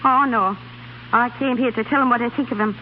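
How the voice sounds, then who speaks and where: A woman's voice: a little high, steady tone, a little fast, natural voice. An actress playing a feisty, blunt young Irish woman in a 1930s radio drama. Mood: indignant, scolding. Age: young adult. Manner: blunt, spirited, scolding. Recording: muffled, noisy 1930s radio recording.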